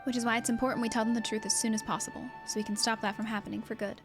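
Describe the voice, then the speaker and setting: Woman acting an earnest game fighter, female, slightly high voice, polite, measured, serious. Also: flat delivery, music in the background, natural voice. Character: earnest, concerned female fighter explaining grave danger.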